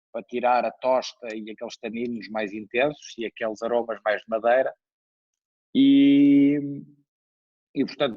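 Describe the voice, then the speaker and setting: A man's voice: medium pitch, darkish tone, no echo. Portuguese-accented man, dull dry sound. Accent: European Portuguese. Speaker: winemaker guest. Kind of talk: conversational interview.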